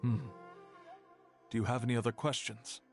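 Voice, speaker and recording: A sober records keeper played by a man explains things in a mid-pitched voice. He is measured, guarded and matter-of-fact, a video game character over faint music. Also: flat delivery, vocal fry, close to an everyday voice.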